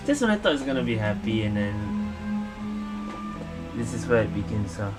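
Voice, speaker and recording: Man's gaming commentary over loud music. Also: lowish voice, sing-song, a little fast. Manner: reactive, thinking aloud. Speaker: gaming streamer. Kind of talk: casual game playthrough commentary. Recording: loud game music behind.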